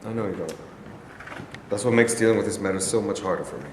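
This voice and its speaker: A man reading as a bitter former boxer, defensive and quick to flare up. The sound is roomy, with a long echo from a bare studio and faint noise behind. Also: lowish voice, close to an everyday voice. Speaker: actor in a staged reading. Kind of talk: staged play reading. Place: large rehearsal studio. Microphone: far camera. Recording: roomy echo from a far camera.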